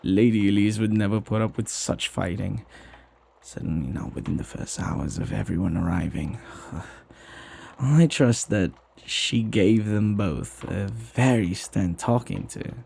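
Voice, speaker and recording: A man playing a flirty, devoted butler in an intimate ASMR roleplay, with genteel, formal phrasing and a husky voice. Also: on the low side, measured pace, warm tone, full character voice. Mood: gossipy, amused. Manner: formal, genteel phrasing with teasing familiarity and sighs. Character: flirty, gossipy, devoted butler, jealously protective of master.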